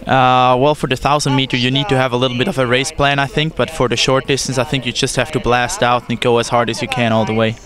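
A man's voice: mid-range voice, little pitch movement, fast, hardly any pauses, fairly bright tone. Matter-of-fact young Swiss man. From Switzerland. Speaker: canoe sprint athlete. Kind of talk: short interview answers. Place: outdoors by a lake course. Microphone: handheld mic.

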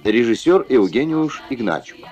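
A man's voice: medium pitch, sing-song, moderate pace, a little mellow. A man reading a scripted studio voice-over for an old children's puppet cartoon. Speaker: voice-over narrator. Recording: old film soundtrack with music. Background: music.

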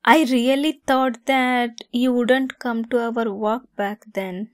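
A woman's voice: fairly high pitch, fairly even intonation, takes it slowly, dry recording, studio quality. A woman acting a giggly, teasing young woman fond of a longtime friend, in a romantic ASMR roleplay. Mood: soft, reminiscing.

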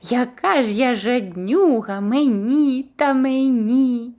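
A woman voicing a greedy, hoarding female squirrel in a storybook who shouts and grabs. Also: a little high, slow pace. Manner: shouting, grabby.

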